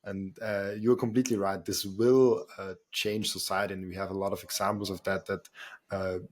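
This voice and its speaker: Guy hosting a podcast, lowish voice, lots of uhs, keeps agreeing. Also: flat delivery, moderate pace. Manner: agreeing eagerly, with frequent "uh"s and repeated words. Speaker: podcast host. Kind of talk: casual interview talk.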